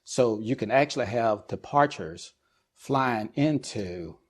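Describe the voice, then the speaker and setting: Middle-aged man explaining, deliberate and measured. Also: mid-range voice, lively intonation. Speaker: guest expert, air traffic controller and business executive. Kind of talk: explanatory interview talk.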